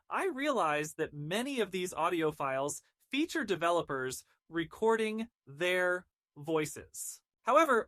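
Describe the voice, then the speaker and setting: A woman's voice: mid-range voice, a bit bright, dry recording, clean studio sound. A woman reading scripted narration for a gaming video, with polished, articulate phrasing. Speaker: video narrator presenting game audio.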